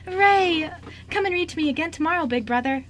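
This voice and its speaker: Woman playing an eager, high-voiced little girl. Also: expressive, a little fast, fairly bright tone. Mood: hopeful, excited. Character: eager, lonely five-year-old girl in an orphanage. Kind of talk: amateur radio drama acting. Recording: noisy amateur radio recording.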